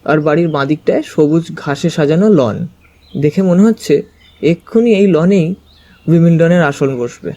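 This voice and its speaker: A man reading a first-person scripted story as its narrator, with expressive storytelling delivery. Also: on the high side, normal speed, dull audio. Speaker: narrator and detective's companion.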